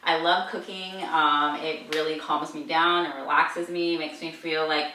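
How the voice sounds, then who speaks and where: A woman's voice: low voice, fairly even intonation, a little slow, pushed and bright, slight background noise. A woman guest chatting casually about her daily routine in an upbeat, rambling way with lots of ums. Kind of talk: casual interview chat. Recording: echoey room, distant mic.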